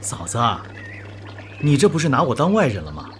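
A man's voice: a little high, huge pitch swings, fairly quick, a little hiss or hum. An actor in a radio drama playing a loyal, protective male soldier, hearty, reassuring and fearless. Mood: warm, reassuring.

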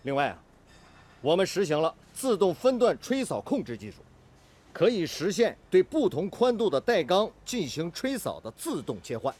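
A determined master technician in a radio drama. His voice is husky and fairly high, with lively swings. He speaks as a stubborn, inventive mentor of the factory floor. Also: moderate pace, exaggerated voice. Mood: keen, explanatory. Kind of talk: Chinese radio drama acting.

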